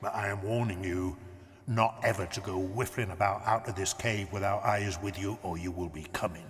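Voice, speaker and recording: An actor playing a kindly, muddled big friendly giant in a children's audio drama, chuckling and scolding gently in garbled grammar. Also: male voice, deep voice, fairly even intonation, a little fast, big-room sound, natural voice. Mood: warning. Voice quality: wordy, garbled grammar with mangled made-up words.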